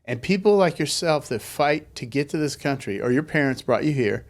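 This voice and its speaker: A man hosting an interview show in casual chat, informal and quick to exclaim. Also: a little high, expressive. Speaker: podcast host.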